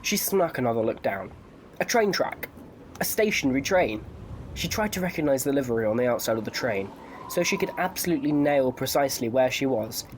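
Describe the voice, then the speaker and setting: A man's voice: mid-range voice, lively intonation, a little fast, a little hiss or hum. A man narrating a scripted story for an audio drama in a wry, playful storytelling manner.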